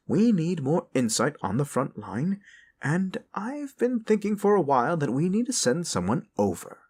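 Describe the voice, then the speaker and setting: A man's voice: fairly high pitch, a little mellow, breathy edge, voice pushed up, heavily acted. A man voicing a polite, scheming lich necromancer in a fantasy audio roleplay, genteel yet commanding and wheedling.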